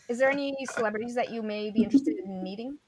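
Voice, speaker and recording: A woman's voice: fairly high pitch, quick pace, darkish tone. A woman co-hosting a casual panel chat in a playful, upbeat way. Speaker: co-host.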